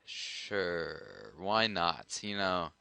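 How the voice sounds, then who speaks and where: A man chatting casually and making a prank phone call in a deadpan, slangy manner. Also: low voice, very slow, creaky, clear, resonant tone, dry recording. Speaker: prank caller.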